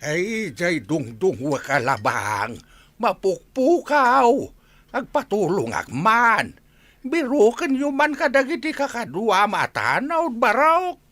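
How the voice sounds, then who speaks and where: A woman's voice: medium pitch, big pitch swings, takes it slowly, a bit bright, no room sound, heavily acted. A woman with an Ilocano accent playing an anxious old village grandparent in a radio drama. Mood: worried. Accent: Ilocano, northern Philippines. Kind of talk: radio comedy drama acting.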